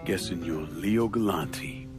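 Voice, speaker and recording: An actor playing a hardened, cool, determined criminal, terse and controlled, in a cinematic game. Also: male voice, sits low, slow pace, over music. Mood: guarded. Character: hardened, cool, determined male crime avenger.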